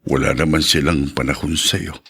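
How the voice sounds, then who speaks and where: An actor playing an affectionate, wistful elderly widowed father in a radio drama, with a husky voice. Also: rumbling low, steady tone, moderate pace, fairly bright tone, exaggerated voice. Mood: lonely, wistful. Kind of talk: Tagalog radio drama acting.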